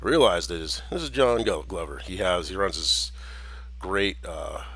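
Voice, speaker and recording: A man's voice: low-pitched, lively intonation, a little slow, a bit bright, husky, no room sound. A man with an American accent carrying a slight Jamaican tinge, talking in a rambling personal monologue full of restarts and chuckles. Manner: meandering, with many restarts and chuckles.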